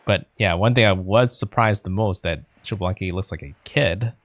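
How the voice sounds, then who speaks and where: Lower-voiced man musing over a game. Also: moderate pace, no echo. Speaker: game streamer. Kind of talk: casual let's play commentary, reading some dialogue aloud.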